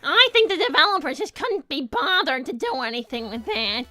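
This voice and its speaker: A woman voicing a chatty, bossy young female cartoon hedgehog in an animated, indignant, improvised style. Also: brisk, no echo, soft background music, heavily acted. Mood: exasperated. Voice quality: very high, girlish, bright. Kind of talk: improvised in-character livestream banter, reading viewer messages.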